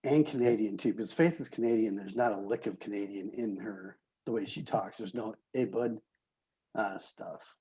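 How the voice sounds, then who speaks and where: A man from the United States speaking casually and haltingly, putting a tentative question to a group. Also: on the low side, dark tone, breathy edge, no room sound.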